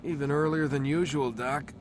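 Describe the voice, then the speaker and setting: A man's voice: fairly high pitch, steady tone, normal speed, noisy background, lightly acted. An actor playing a frustrated young man held captive, sardonic and exasperated, in a naturalistic video game cutscene. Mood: sullen, sarcastic.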